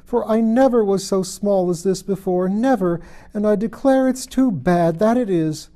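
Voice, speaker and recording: A man's voice: high voice, normal speed, dark tone, voice pushed up, natural voice. A man voicing a bewildered, chatty young girl from a storybook, fretful and prim as she talks to herself. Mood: dismayed. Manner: prim, exclamatory, talking to herself. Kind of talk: storybook character voice.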